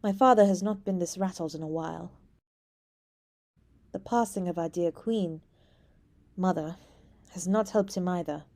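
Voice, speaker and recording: A woman's voice: lowish voice, normal speed, pauses often, warm tone, close to an everyday voice. A woman playing a teasing young princess. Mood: worried, hushed. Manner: teasing, scatters French words. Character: teasing young princess, playful, anxious beneath. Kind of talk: ASMR roleplay acting.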